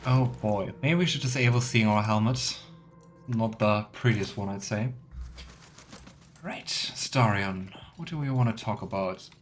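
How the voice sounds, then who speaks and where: A man's voice: fairly low pitch, a little slow, soft background music. A man doing casual let's play commentary, thinking aloud and musing as he role-plays.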